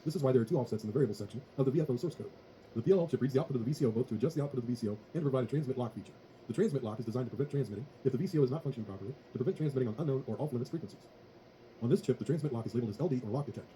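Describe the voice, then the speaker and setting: A man's voice: mid-range voice, rapid-fire, dark tone, slight background noise, poor audio. A man narrating a scripted technical tutorial in a formal, methodical way. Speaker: tutorial presenter.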